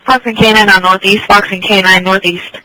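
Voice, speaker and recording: Lady on police scanner, talks quick and sharp, terse radio calls, dry sound. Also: medium pitch, little pitch movement, dry recording, poor audio. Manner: brisk, terse radio phrasing. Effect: police radio transmission.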